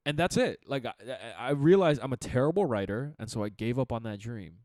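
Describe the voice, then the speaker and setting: A young man co-hosting a casual podcast chat, with a deep radio-type voice, animated and quick to laugh. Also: no room sound. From Chicago area. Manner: animated, laughs often, talks in bursts with restarts. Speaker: podcast co-host.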